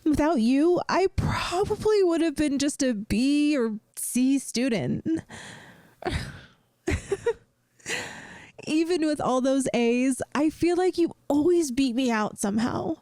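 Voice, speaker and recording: Caring young woman played by an actress, best friend secretly in love; slow, close and dry, soothing ASMR roleplay delivery. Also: high voice, expressive, dry recording. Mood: fond, nostalgic. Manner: gentle, soothing, playful, earnest. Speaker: audio roleplay performer. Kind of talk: soft intimate ASMR roleplay acting.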